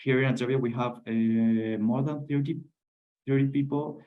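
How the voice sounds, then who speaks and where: A man from Venezuela, speaking in a panel discussion and often pausing to search for words. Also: mid-range voice, steady tone, narrow, tinny audio. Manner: halting, searches for words, repeats himself. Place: office in Ecuador.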